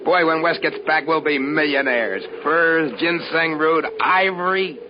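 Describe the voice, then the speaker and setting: An actor playing an eager, hopeful fortune-seeking adventurer in a 1950s radio drama. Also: male voice, on the high side, unhurried, natural voice. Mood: hopeful, dreamy. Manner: eager, animated. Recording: noisy, dull 1950s radio recording.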